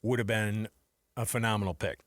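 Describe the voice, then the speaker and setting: A man's voice: on the low side, fairly even intonation, brisk, no room sound, studio quality. An American man co-hosting sports radio, chatting casually about college football in an informal, rambling, playful way. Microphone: close studio broadcast microphone.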